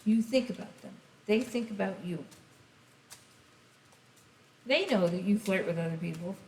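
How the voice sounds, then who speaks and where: A woman giving a casual tarot reading, rambling and speaking straight to the listener, her voice going hoarse. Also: mid-range voice, expressive, a little slow, darkish tone. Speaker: tarot reader. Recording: echoey room, faint hiss.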